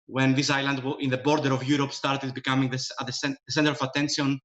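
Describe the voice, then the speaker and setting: A man's voice: mid-range voice, level tone, quick pace, a bit bright. A man from Greece with a Greek accent, a professor introducing himself on a video-call panel in a light, self-mocking way. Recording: dull lo-fi call audio.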